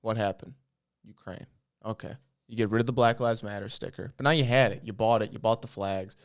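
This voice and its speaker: A man's voice: on the low side, steady tone, fairly quick. A man co-hosting a comedy podcast, playful and laughing, often repeating punchlines. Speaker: podcast co-host. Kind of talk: casual comedy chat.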